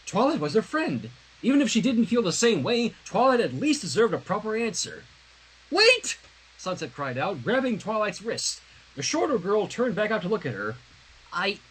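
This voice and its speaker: A man hosting a fan fiction reading, expressively narrating the story with casual asides in a slightly husky voice. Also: sits high, rough recording. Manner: expressive, acts out dialogue. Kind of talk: dramatic read-aloud of a story with casual commentary.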